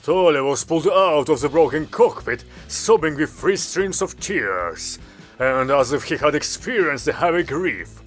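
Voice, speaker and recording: A man's voice: fairly high pitch, expressive, a little slow, faint music behind. A man voicing a curt, weary male commander giving orders with dry sarcasm. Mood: commanding.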